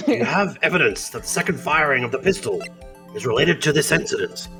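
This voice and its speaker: A man voicing a befuddled, ponderous elderly courtroom judge in a live amateur game dub, speaking slowly and pompously. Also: fairly high pitch, animated, music in the background.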